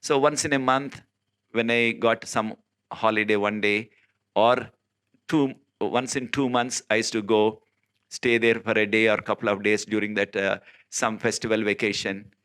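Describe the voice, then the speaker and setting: A man from South India whose first language is Telugu, preaching in an earnest, emphatic way, with many filler pauses and sudden rises in pitch. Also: steady tone, a bit bright, smooth. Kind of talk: informal recorded sermon.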